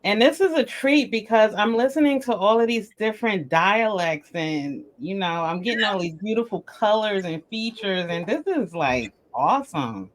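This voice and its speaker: A woman's voice: mid-range voice, lively intonation, normal speed, hardly any pauses. A woman hosting a podcast interview asks her questions in an enthusiastic, effusive way. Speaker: podcast host.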